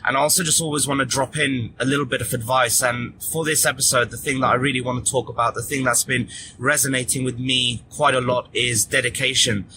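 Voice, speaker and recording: A man hosting his own podcast, giving a relaxed and earnest solo update with casual fillers. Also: medium pitch, fairly quick, hardly any pauses, noisy background. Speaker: podcast host. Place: at the gym.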